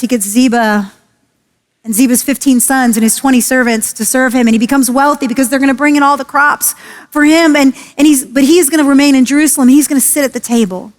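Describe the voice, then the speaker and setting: A woman pastor preaching a sermon, earnest and emphatic, slowing and lowering her voice on key phrases. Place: church sanctuary. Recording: long hall reverb.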